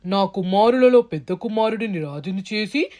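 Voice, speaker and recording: A woman's voice: mid-range voice, lively intonation, moderate pace, dark tone, voice pushed down, lightly acted. A woman voicing a formal, commanding king in a children's story. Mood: measured.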